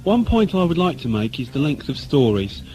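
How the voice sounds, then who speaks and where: A man's voice: medium pitch, big pitch swings, moderate pace, warm tone, rough recording. A man reading listeners' letters aloud in a scripted style. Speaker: letter reader.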